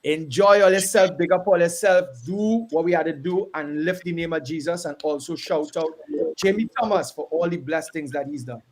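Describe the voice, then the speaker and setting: A Trinidadian gospel artist speaks in a casual, earnest radio chat. His voice sits a touch higher than most men's, and his manner turns encouraging and preacherly. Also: normal speed. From Trinidad and Tobago. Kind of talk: casual radio tribute talk.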